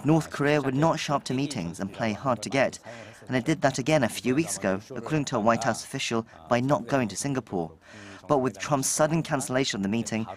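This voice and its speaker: A man interviewed for a news report, explaining points plainly. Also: mid-range voice.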